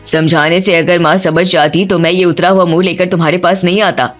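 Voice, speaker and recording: Resigned young lover, male woman reads him, cartoon drama, lowered pitch, light music bed. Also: steady tone, brisk, close to an everyday voice. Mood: glum, resigned. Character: troubled young man in love, resigned son.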